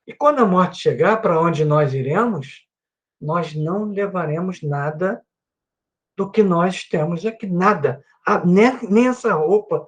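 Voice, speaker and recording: A man's voice: high-pitched, lively intonation, a little slow. A Brazilian man teaching a Bible class at his computer with a microphone and headphones. He speaks earnestly and keeps adding little "né" tags. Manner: earnest, repeats himself with many "né" tags. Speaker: Sunday school teacher.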